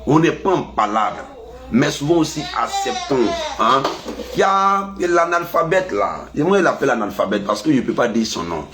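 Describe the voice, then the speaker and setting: A man's voice: normal speed, slight background noise. Ivorian man scolding with a stuffy cold. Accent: Ivorian French. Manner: lively, scolding, animated pitch jumps. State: has a cold. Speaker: vlogger. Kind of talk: casual monologue to camera.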